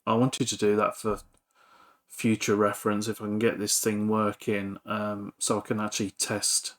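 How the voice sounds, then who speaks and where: A man casually talking through a repair in a workshop, hesitant, thinking aloud with frequent pauses. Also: lowish voice, completely flat delivery, a bit bright. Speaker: electronics hobbyist and repair vlogger. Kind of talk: casual workbench talk-through. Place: home workshop.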